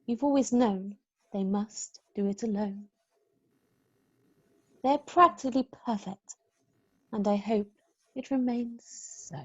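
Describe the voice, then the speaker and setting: A woman playing a prim, brisk, kindly nanny in an amateur script read-through. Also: a little high, husky, lo-fi sound. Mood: wistful.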